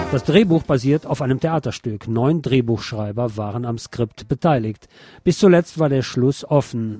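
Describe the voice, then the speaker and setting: Casting: man for scripted documentary narration, mellow relaxed timbre, light music underneath. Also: mid-range voice, no room sound.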